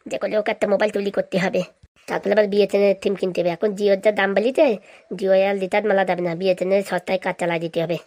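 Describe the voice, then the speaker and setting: Lady doing a sneaky bragging cartoon boy, talks quick, slangy and blustering. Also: medium pitch, level tone, darkish tone, dry recording, close to an everyday voice. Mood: scheming. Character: scheming, mischievous boy, petty thief and braggart. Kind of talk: cartoon voice acting.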